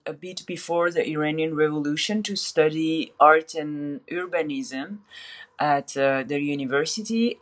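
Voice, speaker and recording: A woman, speaking as a guest on a remote interview about her art advisory work and her life. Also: deep voice. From France. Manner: fluent, with many repeated starts. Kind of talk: casual interview chat. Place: remote call from another city.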